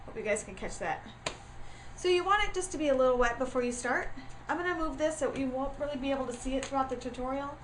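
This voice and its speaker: Chatty lady w a stuffy cold voice, kinda high, explaining crafts, faint room hum. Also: quick pace, low-quality recording. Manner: chatty with frequent ums and uhs. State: congested with a starting cold. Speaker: craft tutorial host. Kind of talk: casual craft tutorial. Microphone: camera mic.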